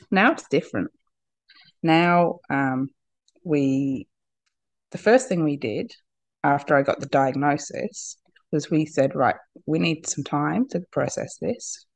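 Podcast guest, female, husky from a cold, with a gravelly edge; open, laughing, halting restarts. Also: low voice, dry recording. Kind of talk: candid personal conversation.

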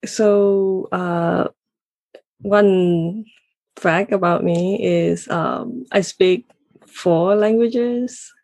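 A woman from Malaysia with a Malaysian accent, talking casually and haltingly as an interview guest. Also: on the low side, steady tone, a little mellow, dry recording. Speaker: podcast guest, consultant.

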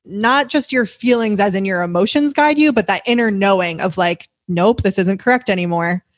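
A woman's voice: medium pitch, normal speed, no echo, clean studio sound. A woman hosting a podcast, chatting casually with a guest and laughing often. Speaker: podcast host. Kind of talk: casual interview chat.

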